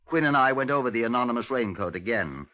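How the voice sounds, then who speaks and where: An actor playing a brisk, methodical British police inspector in a 1950s radio drama, with a clipped, formal, measured delivery. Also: male voice, mid-range voice, expressive, no echo, lightly acted. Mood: matter-of-fact. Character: brisk, methodical male Scotland Yard inspector. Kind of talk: 1950s radio drama acting, narration and dialogue. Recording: dull, lo-fi 1950s radio recording.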